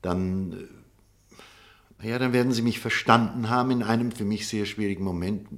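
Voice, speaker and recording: An actor playing a stubborn, principled doctor in a German radio drama, speaking formally and firmly. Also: male voice, lowish voice, a bit bright, close to an everyday voice. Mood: grave, torn. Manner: formal, firm, measured argument.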